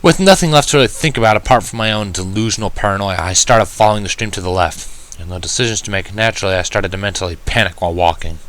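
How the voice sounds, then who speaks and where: A man narrating a first-person story in an audiobook style, reading in a measured, wry manner. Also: on the low side, fairly bright tone. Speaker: fan fiction reader.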